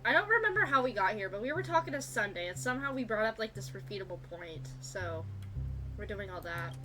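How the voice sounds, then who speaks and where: A woman's voice: medium pitch, big pitch swings, brisk. A woman livestreamer chatting casually and informally with viewers during a gaming stream. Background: game music.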